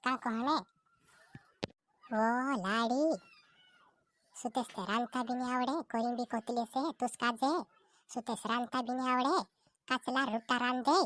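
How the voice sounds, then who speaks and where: A woman's voice: fairly high pitch, fairly even intonation, normal speed, pauses often, a bit bright, close to an everyday voice. A woman voicing a chatty young village daughter-in-law who complains about her mother-in-law. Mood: complaining.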